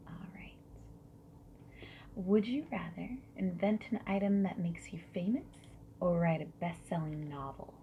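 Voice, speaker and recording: A woman doing a soft-spoken ASMR roleplay as a friendly survey interviewer, slipping between whispers and quiet speech. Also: lowish voice, creaky. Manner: friendly, gentle, unhurried questioning with soft acknowledgements. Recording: lo-fi muffled sound with background noise.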